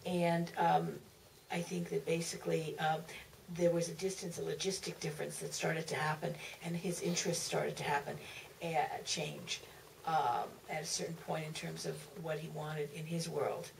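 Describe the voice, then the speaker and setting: A woman author recollecting at a live Q&A in a theater, speaking haltingly with repeats and trailing phrases. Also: sits low, flat delivery, vocal fry. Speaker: author, panel guest. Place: museum theater. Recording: distant, noisy auditorium pickup.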